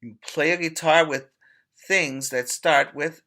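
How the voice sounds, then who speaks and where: A man teaching preschoolers by reading aloud in a deliberate, emphatic way, pausing to put questions to his young listeners. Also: a little high, sharp tone. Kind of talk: read-aloud lesson for young children.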